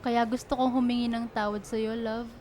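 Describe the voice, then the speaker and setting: High-voiced young girlfriend, acted by a woman. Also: flat delivery, normal speed, mellow, a little hiss or hum, natural voice. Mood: apologetic, tender. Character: young student girlfriend, proud, caring, easily upset, affectionate. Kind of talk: radio romance drama acting.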